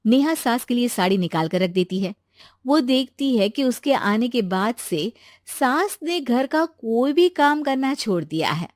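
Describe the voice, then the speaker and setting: A woman telling a cartoon moral story, steady and clear like a storybook. Her voice sits a little high with lively rises and falls. The sound is dry and close to the mic. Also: a little slow, no room sound. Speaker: narrator. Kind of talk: scripted storytelling narration.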